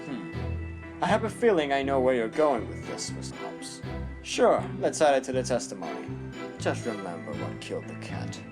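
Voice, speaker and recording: A man plays a haughty cartoon bird prosecutor in an audio drama, with a pompous, theatrical delivery that swings widely in pitch. He talks down to everyone in the courtroom, over clearly audible music. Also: sing-song, moderate pace, natural voice. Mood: smug, taunting. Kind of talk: fan audio drama acting.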